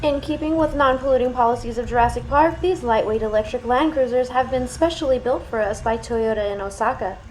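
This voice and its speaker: A woman's voice: a little high, fairly quick, few pauses, faint music behind, natural voice, rough recording. A woman playing a park tour announcer, reading a scripted promotional message in a polished, presentational way. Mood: welcoming, promotional.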